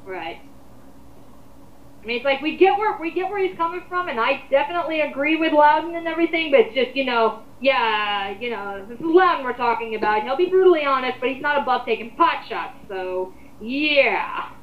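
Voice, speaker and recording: A woman with a high-pitched voice talks in a roomy, muffled home recording. She co-hosts a commentary, rambling informally through her opinions. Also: animated, fairly quick, a little hiss or hum. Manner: rambling, informal, occasional swearing. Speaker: co-host of an online commentary. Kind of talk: casual opinionated chat.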